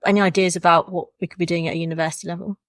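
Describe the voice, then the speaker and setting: Host: woman, lower voice, fast talker, friendly and a little hesitant, dry close mic. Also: flat delivery, no room sound. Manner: friendly, quick, hesitant with fillers while framing questions. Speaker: podcast co-host interviewer. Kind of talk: casual interview questions.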